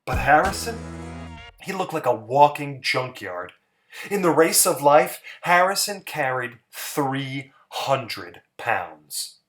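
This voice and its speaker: A man giving a dramatic story narration, theatrical and emphatic, with pauses for effect. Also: mid-range voice, very animated, a bit bright, soft background music.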